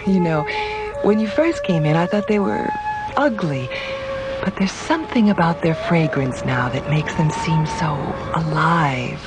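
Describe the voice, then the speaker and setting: An actress playing a grief-stricken widow under an eerie spell in a radio drama, dreamy, halting and entranced. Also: female voice, low-pitched, animated. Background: loud dramatic music.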